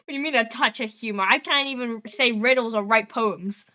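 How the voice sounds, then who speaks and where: Brief: playful woman host, slightly high voice, teasing, jokey banter. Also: sharp tone. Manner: giggly, teasing, deliberately jokey. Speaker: show host. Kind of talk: casual chat with a chatbot.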